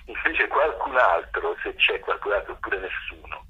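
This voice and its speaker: An older man, a stage actor, reflecting in a radio interview in a halting, searching way, repeating words, with a husky voice. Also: low voice, lively intonation. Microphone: telephone. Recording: rough, harsh telephone line.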